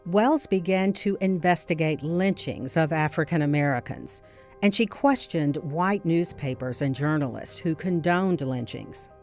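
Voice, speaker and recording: A woman reading scripted documentary narration in a measured, carefully enunciated way. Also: deep voice, a little mellow. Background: music.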